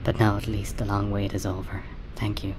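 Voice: sits low, level tone, normal speed, natural voice. An actor playing a grieving, defiant wife and mother in a radio play, solemn, poetic and impassioned. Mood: weary grief. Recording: noisy ambience around the voice. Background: ambient noise.

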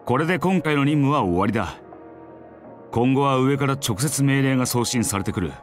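A Japanese actor playing a brisk, commanding but easygoing military squad captain in an anime game. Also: male voice, medium pitch, animated, faint music behind, close to an everyday voice. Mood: brisk, instructing.

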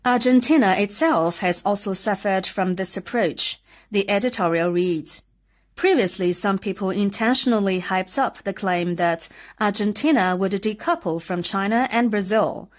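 Woman reading news in measured tones. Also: mid-range voice. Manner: measured, formal delivery. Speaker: news podcast host.

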